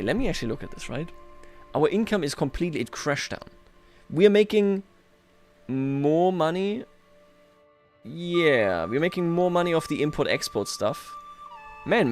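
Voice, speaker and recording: A man hosting a livestream, chatting casually and thinking aloud over a strategy game in a wry, playful way. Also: medium pitch. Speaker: livestream host.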